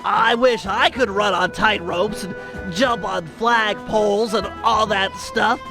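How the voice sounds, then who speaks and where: A brainy young turtle in a cartoon video game, nerdy and earnest. He takes his words slowly and sounds a little touchy, with loud music underneath. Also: high-pitched, steady tone, vocal fry, heavily acted. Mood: wistful, dejected.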